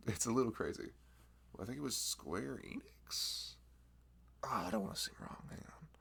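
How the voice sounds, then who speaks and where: A man's voice: low-pitched, vocal fry, fairly bright tone, breathy edge, full character voice. A man voicing a friendly, nerdy young florist in an ASMR roleplay, rambling, laughing often and teasing himself.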